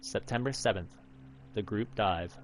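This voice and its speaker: A man's voice: fairly low pitch, normal speed, low-quality recording. An American man in his thirties reading a visual novel aloud in a narrating style. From United States, Alaska. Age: 30s. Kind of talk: dramatic read-aloud narration of game text. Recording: game music behind the voice. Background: game music.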